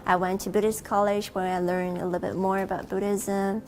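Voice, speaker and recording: A woman from Malaysia who lives in a Buddhist temple, answering documentary interview questions in a thoughtful, unhurried way. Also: mid-range voice, little pitch movement, darkish tone, a little hiss or hum. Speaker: Buddhist practitioner living in a temple.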